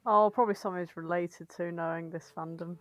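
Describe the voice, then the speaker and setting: A woman's voice: fairly low pitch, steady tone, a little fast, no echo. A woman co-hosting a podcast with casual, comedic banter. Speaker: podcast co-host.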